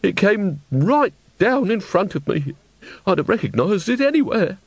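A man's voice: high-pitched, big pitch swings, moderate pace, slightly rough, no echo, voice pushed up, exaggerated voice. A man reading a storybook, voicing a frightened, tearful little old woman who speaks in a fretful, plaintive, sniffling way.

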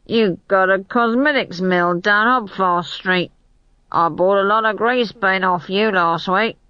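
A woman's voice: medium pitch, smooth, dry recording, close to an everyday voice. A woman voicing a drunk, cheeky young man who slurs, rambles and gropes for words. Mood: mischievous. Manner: slurred, halting, searching for words. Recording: slightly dull, dry recording.

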